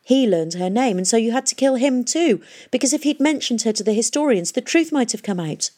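A woman's voice: on the low side, fairly quick, darkish tone, natural voice. A woman reading the part of a young woman who bitterly accuses her father of murder. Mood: accusing.

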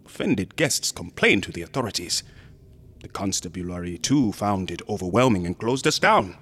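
An actor playing a smug, unctuous museum assistant in a horror radio drama, courteous and insinuating, sometimes dropping into whispers. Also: male voice, low voice, sing-song, moderate pace, a bit bright, slightly rough, exaggerated voice. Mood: oily politeness. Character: sinister, smug, unctuous museum assistant guarding macabre exhibits.

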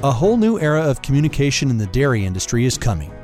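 A man reading a scripted podcast promo in a smooth, salesy announcer voice. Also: mid-range voice, very animated, rapid-fire, over music. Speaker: show announcer.

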